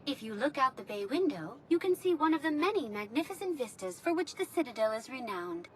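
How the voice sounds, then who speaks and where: A polite computer guide for a sci-fi game, really high voice, very sing-song, formal announcement style. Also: female voice, very animated, fairly quick, a little hiss or hum. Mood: formal, helpful. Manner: formal, courteous, precise. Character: polite female virtual information guide of a space station. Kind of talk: scripted announcement.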